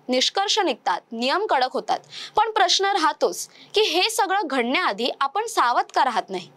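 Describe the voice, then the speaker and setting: A young woman with a high voice reads a scripted news explainer. She keeps a measured, formal newsreader delivery throughout. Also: a bit bright. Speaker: news presenter.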